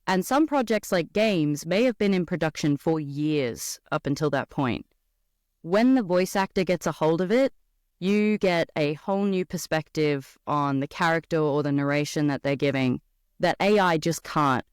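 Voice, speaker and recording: Australian woman, voice actor, expressive podcast guest, smooth clear voice, dry close sound. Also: low-pitched, moderate pace, dry recording. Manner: animated, expressive storyteller. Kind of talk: casual podcast conversation.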